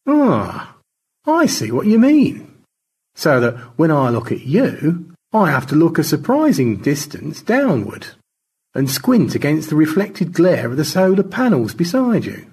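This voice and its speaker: Audio story role, male reader: languid, grand gentleman spaceman, mannered and airy, rough husky tone, theatrically wide intonation. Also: a little high, huge pitch swings, a little slow, pitched above the performer's own voice, heavily acted. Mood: airy, unruffled. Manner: florid, mannered, airily unflappable.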